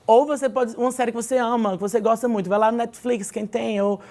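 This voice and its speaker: Brief: brazilian woman teacher, gravelly and mellow, explains with lots of "né?". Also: a little high, steady tone, fast talker. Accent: Brazilian Portuguese. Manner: explanatory, lots of "né?" tag questions. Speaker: English teacher, studio guest. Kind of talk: TV studio interview, advice.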